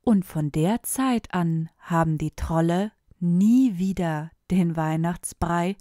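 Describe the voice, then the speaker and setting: A woman's voice: lowish voice, slow pace, warm tone, no echo, studio quality. A woman reading a fairy tale as a scripted storyteller.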